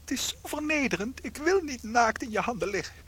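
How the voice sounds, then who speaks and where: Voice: mid-range voice, lively intonation, a little fast, fairly bright tone, husky. An actor in a radio play voicing a tiny shrunken husband, plaintive and melodramatic, with a small strained voice. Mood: despairing, ashamed. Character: tiny ten-centimetre shrunken husband, anguished, ashamed and clingingly devoted.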